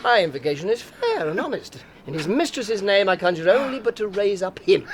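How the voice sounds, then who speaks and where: An actor playing a bawdy, playful young gallant in a Shakespearean audio play, teasing theatrically through the verse. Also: male voice, high voice, sing-song, brisk, slight background noise. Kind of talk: Shakespearean radio play acting.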